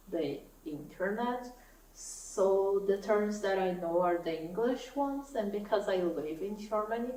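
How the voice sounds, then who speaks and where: A young woman speaks English, slowly and thoughtfully, in an easy vlog chat. She is recorded from a little way off the mic, in an echoey room with a faint hiss underneath. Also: medium pitch, recorded from a distance. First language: Portuguese. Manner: soft-spoken, thoughtful, laughs often. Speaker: knitting vlogger. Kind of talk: casual chat to camera.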